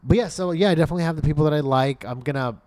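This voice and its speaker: A man's voice: fairly high pitch, rapid-fire, a little mellow, dry recording. A man chatting casually as a recap co-host, slangy and emphatic about his opinions. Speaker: podcast co-host.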